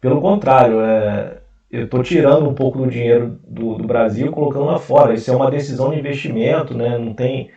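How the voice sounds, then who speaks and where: Gravelly Carioca man, roomy distant sound. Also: medium pitch, brisk, warm tone. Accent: Carioca Portuguese. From Brazil. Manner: hesitant, many fillers and restarts. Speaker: investment channel host. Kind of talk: casual screen-share explainer. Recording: roomy, distant, dull mic.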